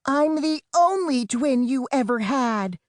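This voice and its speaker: A man voices a feisty young twin girl in an adventure storybook, lifting his pitch well above his narrating voice. She is indignant and quick to retort. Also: animated, no echo, studio quality, heavily acted. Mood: exasperated.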